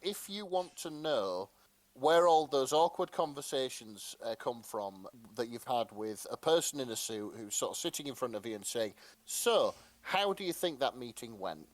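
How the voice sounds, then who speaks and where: A man's voice: mid-range voice, animated, moderate pace, no echo. A man co-hosting a casual podcast, presenting and reading out fan fiction with a jokey, slightly hesitant delivery. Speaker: podcast co-host.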